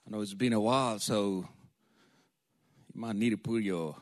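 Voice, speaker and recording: A man from Africa, a preacher giving a sermon in a lively, joking, storytelling way and calling for amens. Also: on the low side, moderate pace.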